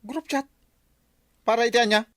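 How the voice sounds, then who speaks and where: A man's voice: extremely high pitch, fairly even intonation, normal speed, fairly bright tone, dry recording, studio quality. A man playing a mocking village husband with an Ilocano accent in a radio comedy, grumbling and exclaiming. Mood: impatient. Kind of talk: radio comedy drama acting.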